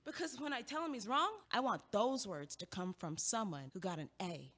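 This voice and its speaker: Sharp, blunt young student played by a woman, echoey hall. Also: mid-range voice, expressive, normal speed. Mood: frustrated, determined. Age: young adult. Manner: sardonic, emphatic, outspoken. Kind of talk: stage-style audio play dialogue. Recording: echoey hall with long reverb.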